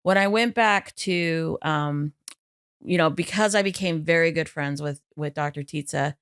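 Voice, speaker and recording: A woman vocal coach, a guest on a podcast, telling stories in a lively, chatty way with plenty of fillers. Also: low-pitched, unhurried, clean tone, no room sound, clean studio sound. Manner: animated, chatty storytelling with many fillers. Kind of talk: casual podcast interview.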